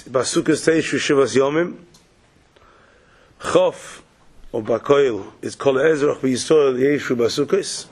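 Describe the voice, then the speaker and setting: A man with a head cold teaches a Torah lesson in a husky, croaky voice that sits a little high for a man. He works through his points by asking questions and answering them himself. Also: darkish tone. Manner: explains step by step, asks and answers questions. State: has a cold. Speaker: rabbi giving a morning Torah talk. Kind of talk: Torah lecture.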